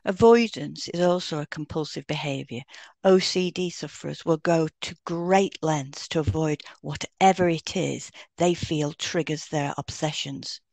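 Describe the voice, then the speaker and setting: A woman's voice: low-pitched, a little slow, creaky, darkish tone, no room sound. A woman co-hosting an educational podcast, reading scripted explanations in an instructive way. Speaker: podcast co-host, hypnotherapist. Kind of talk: scripted educational podcast read aloud in turns.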